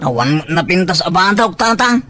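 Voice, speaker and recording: An actor playing a naive, bashful, lovestruck young village bachelor in a comic audio drama. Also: male voice, high voice, sing-song, fairly quick, pushed and bright, full character voice. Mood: naive, eager.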